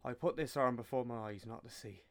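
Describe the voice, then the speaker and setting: An actor playing a deferential, plain-spoken Victorian engine driver, shaken, in a radio play. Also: male voice, medium pitch, fairly quick, natural voice. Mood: distraught. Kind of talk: dramatic radio play acting.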